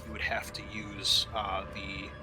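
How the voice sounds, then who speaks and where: A man narrating a tabletop roleplaying game as game master, improvising and thinking aloud with hesitant pauses. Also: lowish voice, completely flat delivery, music in the background.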